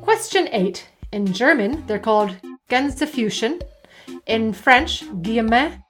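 A woman's voice: fairly high pitch, lively intonation, unhurried, soft background music. A woman co-hosting a podcast, reading quiz questions aloud in a playful, teasing way. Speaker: podcast co-host and quizmaster.

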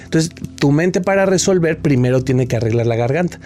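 A man's voice: fairly high pitch, animated, fairly quick, darkish tone, faint music behind. A man, a guest expert answering interview questions in an explanatory way, often restarting his sentences.

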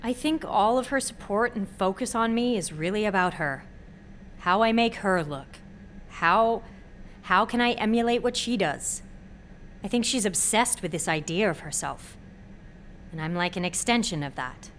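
A woman's voice: medium pitch, expressive, moderate pace, a bit bright, slight background noise, natural voice. An actress playing a sheltered, anxious young female college student who speaks candidly in a naturalistic audio drama. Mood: anxious, confiding. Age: young adult.

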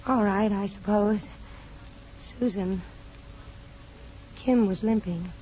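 An actress as a yearning mother in a 1950s American radio play, with a soft, mellow voice. She speaks earnestly and haltingly, pleading and anxious. The old broadcast recording is muffled, with a faint noise under it. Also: medium pitch, lightly acted. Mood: hesitant. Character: anxious, pleading mother longing for her lost child.

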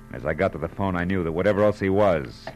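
An actor with an American accent playing a shrewd, clipped, wry private detective in 1940s radio style. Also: male voice, deep voice, fast, darkish tone. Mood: grim, narrating. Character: hard-boiled male private detective, brisk, shrewd and sure. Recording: old radio drama recording, music behind.

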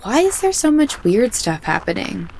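An actress playing a skeptical, worried friend with a wry, teasing manner in an audio drama. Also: female voice, medium pitch, big pitch swings, normal speed, gravelly, darkish tone, slight background noise.

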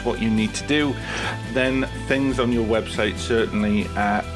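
A man hosting a business podcast as a performance coach, reading a polished intro and then chatting with his guest in an upbeat way. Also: medium pitch. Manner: upbeat, polished greeting, warm encouragement. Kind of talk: scripted intro then casual interview.

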